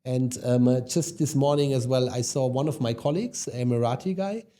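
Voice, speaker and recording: A man's voice: mid-range voice, moderate pace, dark tone. A man with a German accent, a guest on a business podcast, talking casually with many ums and tag questions. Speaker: guest, e-commerce executive. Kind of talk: podcast interview.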